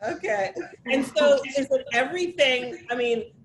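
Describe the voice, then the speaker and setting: A woman hosting an interview over a video call, upbeat and welcoming, thanking her guest warmly. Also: high voice, unhurried, slight background noise, poor audio. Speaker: host. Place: New York City.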